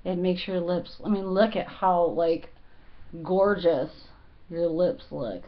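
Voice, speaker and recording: A woman talking casually through a makeup tutorial, rambling and halting with many fillers, her voice slightly hoarse. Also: lowish voice. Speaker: beauty vlogger. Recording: dull lo-fi home recording.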